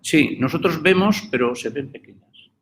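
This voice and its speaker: A man's voice: fairly high pitch, lively intonation, moderate pace, fairly bright tone. Male moderator, conversational, some restarts, video call with faint noise. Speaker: moderator, course host. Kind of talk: introducing a guest and asking questions.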